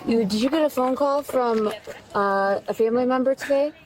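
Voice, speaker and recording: A woman police officer questioning a family on scene in brisk, clipped questions. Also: sits high, darkish tone, a little hiss or hum, low-quality recording.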